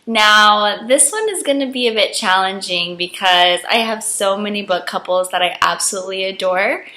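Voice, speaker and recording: A young woman with a cold, her voice froggy and hoarse, chatting casually to camera as a book vlogger and gushing about her favorites. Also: normal speed, pushed and bright. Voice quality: froggy, deeper than usual. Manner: gushing, enthusiastic.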